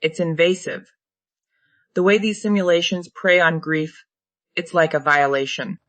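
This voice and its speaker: A sharp, troubled undercover agent played by a synthetic woman in a screenplay read. Her voice is bright and forceful, recorded very dry. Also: fairly low pitch, a little slow, dry recording.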